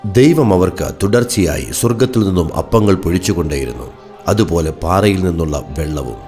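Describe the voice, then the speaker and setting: A man's voice: low voice, lively intonation, normal speed, a little mellow, faint music behind. A man narrating a dramatic scripted Bible story as its narrator.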